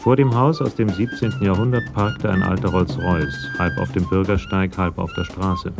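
Deep, gravelly male narrator over music. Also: normal speed. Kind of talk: scripted narration of a radio crime drama.

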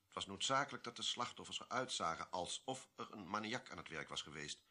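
Unsentimental government official, radio drama: very low, forceful voice, authoritative and emphatic. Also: male voice, lively intonation, fairly quick, heavily acted. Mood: matter-of-fact. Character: authoritative male government official revealing grim secrets, unsentimental. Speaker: radio play actor. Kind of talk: Dutch radio drama dialogue.

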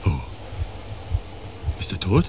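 A man's voice: slow, a little mellow, slight background noise, close to an everyday voice. A male actor playing a practical, concerned space expedition partner in a radio play, urging and steadying his companion. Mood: urgent. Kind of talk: radio play acting, dialogue. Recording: radio play with clear background ambience.

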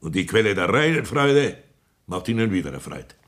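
A man's voice: lowish voice, sing-song, moderate pace, fairly bright tone, slight background noise. A man playing a pompous old country doctor who lectures theatrically in a 1960s radio play. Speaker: Sanitätsrat.

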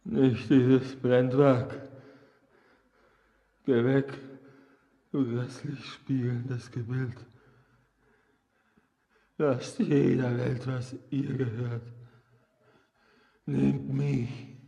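Radio drama: feverish, anguished young man, declamatory, mellow timbre, heavy reverb. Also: mid-range voice, little pitch movement, creaky. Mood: anguished defiance. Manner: declamatory, drawn-out phrasing. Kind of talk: classic German radio drama acting. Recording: long cavernous reverb.

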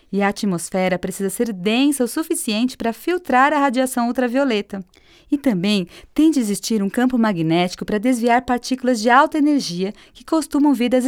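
Actress as a woman astronomer, Brazilian accent, smooth voice, patient explainer, close dry sound, radio drama. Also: on the high side, no echo, close to an everyday voice. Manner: explanatory, didactic. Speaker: actor in an educational radio drama. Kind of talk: scripted conversational radio drama.

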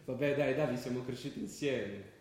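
A man's voice: mid-range voice, moderate pace, darkish tone, very echoey, natural voice. An actor playing an easygoing, teasing young man, a loyal old friend, in a colloquial radio drama.

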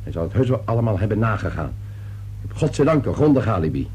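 Gruff, animated middle-aged father. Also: on the low side, fast, heavily acted. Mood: defensive, irritated. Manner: gruff, impatient, blustering. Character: irritable, defensive middle-aged father and businessman. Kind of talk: radio play acting. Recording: noisy old radio play recording.

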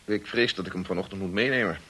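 A polite estate manager in a radio drama. His voice is low and slightly husky. He speaks with formal, reserved courtesy to his employer's household. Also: quick pace, bright, forceful tone, exaggerated voice. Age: 30s.

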